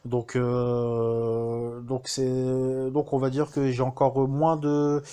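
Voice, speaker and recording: Un homme français qui témoigne de manière spontanée, en hésitant souvent et en reprenant ses phrases. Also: male voice, mid-range voice, completely flat delivery, very slow, dark tone, smooth. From France. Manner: hesitant, many fillers and restarts. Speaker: student giving a testimonial. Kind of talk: casual spoken testimonial.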